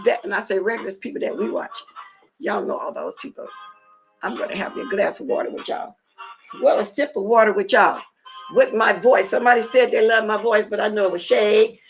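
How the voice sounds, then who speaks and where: A middle-aged woman with a raspy voice hosting a casual livestream, talking bluntly and straight to her chat. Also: mid-range voice. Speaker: livestream host. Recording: dull lo-fi livestream audio.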